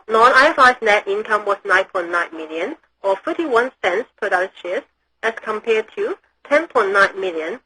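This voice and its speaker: A woman's voice: on the high side, pushed and bright. A woman reading a scripted financial report in a formal manner, as a company executive on an earnings call. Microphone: phone or conference call line. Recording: noisy conference call line.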